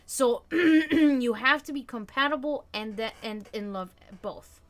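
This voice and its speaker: A woman in her thirties hosting a casual live stream, talking frankly and reflectively and often letting her sentences trail off quietly. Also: high voice, a little slow. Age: 30s. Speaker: stream host.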